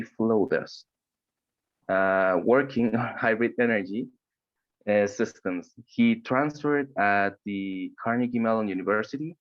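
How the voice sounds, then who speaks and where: A man hosting an online seminar who reads out the speaker's introduction haltingly, with fillers. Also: medium pitch, dull audio. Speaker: event host introducing the speaker.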